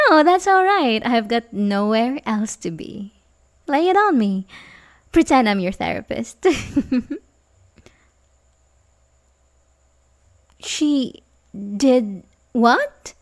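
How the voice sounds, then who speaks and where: A woman playing an obsessive, manipulative young babysitter who feigns sympathy, in an intimate, hesitant ASMR roleplay style. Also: a little high. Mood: sympathetic, coy. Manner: hesitant, stammering, nervous chuckles, sighs. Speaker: audio roleplay performer.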